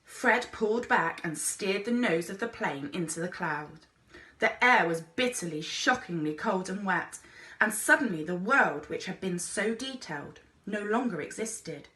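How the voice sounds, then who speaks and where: Bright-voiced woman narrating a storybook. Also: mid-range voice, expressive, a little slow. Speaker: teacher reading aloud. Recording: echoey room.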